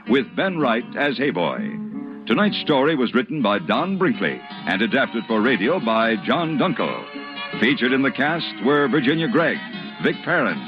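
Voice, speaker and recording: A man reading credits as a 1950s radio announcer. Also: mid-range voice. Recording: muffled old radio recording. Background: music.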